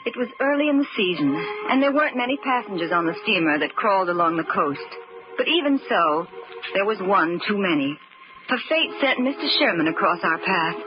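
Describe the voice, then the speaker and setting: A woman's voice: medium pitch, natural voice. A woman playing an earnest, protective young stepsister in an old-time radio drama, with a pleading manner. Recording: noisy, muffled old radio recording.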